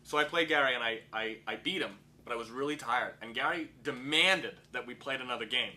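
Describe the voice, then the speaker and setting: A man's voice: mid-range voice, a little fast, bright, forceful tone, a little hiss or hum. A man in his thirties, a guest and old friend, telling rambling stories in an easygoing way. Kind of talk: casual storytelling.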